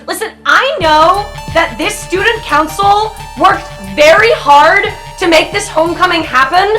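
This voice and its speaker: A woman's voice: very high-pitched, unhurried, sharp tone, distant mic, voice pushed up, heavily acted. A woman voicing an assertive, dutiful teenage superhero girl, firm and scolding, in a tabletop game. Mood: indignant, firm. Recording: roomy sound, faint music.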